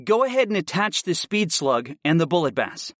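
A man's voice: a little high, sing-song, normal speed, a bit bright, dry recording, clean studio sound, voice pushed up. A man voicing a firm but caring professor of summoning in an audiobook, speaking instructively. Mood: instructing. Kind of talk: audiobook character acting.

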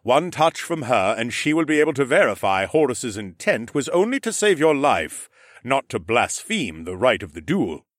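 A man voicing a stately, formal male ram lord who speaks in measured, courtly phrases. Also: mid-range voice, sing-song, dry recording, studio quality, pitched above the performer's own voice. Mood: earnest.

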